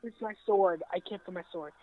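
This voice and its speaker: Tinny, phone-like excitable female gamer. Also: fairly low pitch, fairly quick. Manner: excitable, repeats herself. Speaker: gamer, co-player. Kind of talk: casual gameplay chat. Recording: tinny, phone-like voice chat line.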